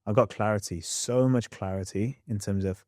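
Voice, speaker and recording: Animated man with Australian-English accent. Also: fairly low pitch, no echo, studio quality. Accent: mixed Australian and English. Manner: animated, quick storyteller who acts out past scenes. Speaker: podcast guest. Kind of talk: candid interview storytelling.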